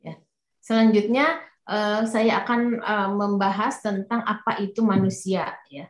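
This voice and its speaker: A woman's voice: medium pitch, steady tone, unhurried. Woman interpreting a talk, lots of ums and restarts, echoey room. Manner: hesitant, many fillers and restarts. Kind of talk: interpreting a lecture. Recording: roomy echo.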